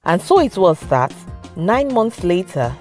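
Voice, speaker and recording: Low-voiced Nigerian woman telling a folktale. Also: sing-song, unhurried, a little mellow, faint music behind. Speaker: storyteller. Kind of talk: scripted folktale narration.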